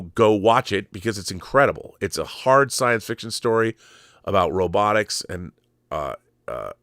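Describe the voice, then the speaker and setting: Male commentator, lowish register, dry close sound, opinionated and emphatic, thinks aloud with restarts. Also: a bit bright, no echo. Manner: emphatic, with repeated phrases for stress. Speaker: commentator and host of a film and TV show. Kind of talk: opinionated pop-culture commentary.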